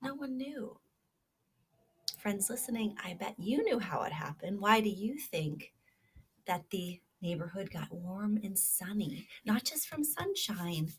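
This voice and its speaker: A woman's voice: mid-range voice, very animated, measured pace, a little hiss or hum. A woman reading a children's story aloud expressively, stopping to prompt her young listeners with questions. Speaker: storybook reader.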